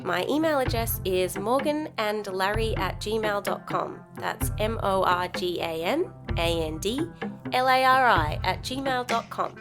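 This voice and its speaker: A woman's voice: mid-range voice, measured pace, music in the background. A young woman talks alone into her podcast mic, joking her way through stories. Her delivery is dry and sarcastic, with a mid-range voice. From Sri Lankan heritage. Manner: wry, sarcastic storytelling. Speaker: podcast host. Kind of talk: solo comedic podcast monologue.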